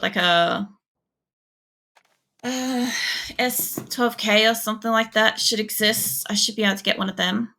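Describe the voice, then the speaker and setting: Gravelly bright-voiced Australian woman. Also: mid-range voice. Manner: rambling, frequent fillers and swearing. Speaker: game streamer. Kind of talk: casual gaming commentary chatting with viewers.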